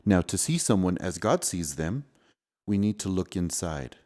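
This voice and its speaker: Dad reading stories to his kids, deepish relaxed voice, patient and encouraging. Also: normal speed. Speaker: father reading to his children, host. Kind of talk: storybook reading and gentle chat with children.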